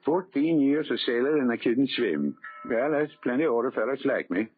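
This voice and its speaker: An actor playing a simple, trusting immigrant sailor, earnest and excitable, in broken English, in 1930s radio horror style. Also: male voice, mid-range voice, brisk, faint music behind, close to an everyday voice. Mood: content, dreamy. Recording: noisy, tinny 1930s radio recording.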